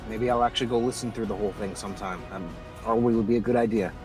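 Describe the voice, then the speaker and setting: A man's voice: lowish voice, steady tone, very fast, over music. A man in casual, chatty livestream banter, talking as a tabletop roleplay gamer.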